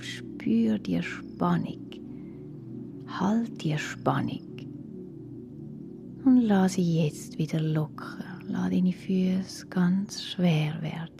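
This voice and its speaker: A woman with a Swiss German accent guiding a relaxation meditation in a calm, soothing, hushed and breathy voice. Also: mid-range voice. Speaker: guided relaxation instructor for children. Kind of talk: slow guided yoga nidra meditation. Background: soft music.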